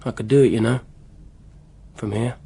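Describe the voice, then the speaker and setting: An actor playing an eager junior policeman in a radio drama, speaking casually and hesitantly in a low-key mutter. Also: fairly even intonation, moderate pace, creaky, warm tone, husky. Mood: restless, muttered. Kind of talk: naturalistic radio drama acting.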